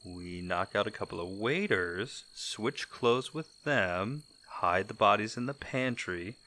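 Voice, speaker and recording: Cocky male heist-crew wisecracker, played by a man: bright voice, swooping pitch, teasing and theatrical, audio drama style. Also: animated, slow pace, a little hiss or hum, full character voice. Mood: eager scheming. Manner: theatrical, teasing, exaggerated delivery.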